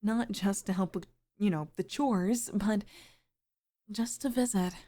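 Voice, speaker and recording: A woman as a slow-talking, soft, flirtatious mature mom. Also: mid-range voice, lightly acted. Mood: tender, grateful. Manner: soft, breathy, lingering, often near a whisper. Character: sweet flirtatious middle-aged single mother, warm, shyly flustered. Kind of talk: intimate ASMR roleplay acting.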